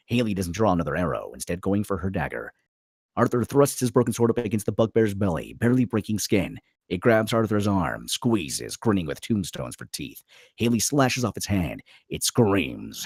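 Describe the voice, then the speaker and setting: Casting: male narrator for screenplay read, lively pitch swings, husky, clipped dramatic phrasing. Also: dry recording, studio quality. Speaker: narrator reading stage directions.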